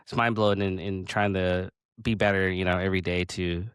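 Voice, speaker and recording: A man in his late thirties hosting a casual interview, talking in halting bursts with many restarts. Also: low-pitched, clear, resonant tone, dry recording, studio quality. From Pacific Northwest of the US. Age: 30s. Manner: halting, restarts often, excited laughs. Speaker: podcast host.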